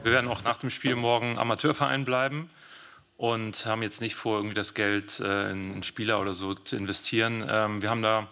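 Bright, forceful male club representative. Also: lowish voice, little pitch movement, fairly quick. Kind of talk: press conference remarks.

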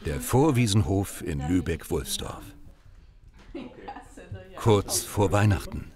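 A man reads scripted documentary narration with a lowish, expressive voice. His delivery is clear and measured, and it has a music bed beneath it.

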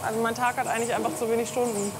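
A woman in her thirties, a farmer, speaking candidly and briskly in an interview. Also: fairly high pitch, little pitch movement, over music. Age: 30s.

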